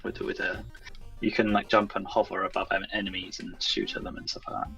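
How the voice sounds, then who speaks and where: Male game developer guest, low creaky voice, conversational. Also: huge pitch swings, a little fast, bright, forceful tone, faint music behind, poor audio. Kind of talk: casual interview answers about own work.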